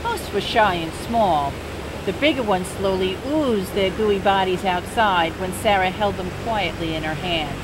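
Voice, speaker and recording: An older woman reading a children's picture book aloud in a gentle, unhurried bedtime-story manner. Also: medium pitch. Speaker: storybook reader. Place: outdoors by the sea. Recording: steady outdoor noise.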